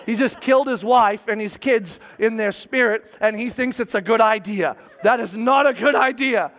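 High-voiced man preaching emphatically. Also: moderate pace, fairly bright tone. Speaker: pastor. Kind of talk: sermon.

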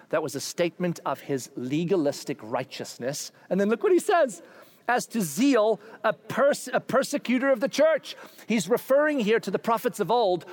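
Animated pastor preaching with playful humor. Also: male voice, high-pitched. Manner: animated, voices quoted figures with playful humor. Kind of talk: sermon.

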